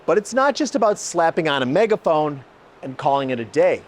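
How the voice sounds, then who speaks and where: Man, slightly high voice, enthusiastic car-video host, emphatic delivery, faint vehicle noise behind. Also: big pitch swings, brisk. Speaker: host, exhaust shop builder. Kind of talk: presenting car exhaust comparison, part scripted, part explanatory.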